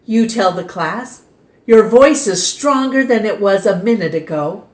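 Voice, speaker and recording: A woman reads a children's picture book aloud at an unhurried, deliberate pace, her voice at a middle pitch. Her delivery is gentle and expressive. The recording carries a noticeable room echo. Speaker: storytime reader. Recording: roomy echo.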